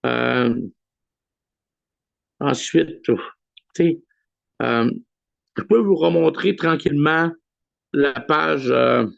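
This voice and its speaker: A man with a Québécois accent hosting a weekly video call in a relaxed way, with many pauses and filler sounds. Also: on the high side, gravelly, no echo. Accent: Québécois French. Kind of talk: casual live address on a video call. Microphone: computer mic on a video call. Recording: dull lo-fi video call audio.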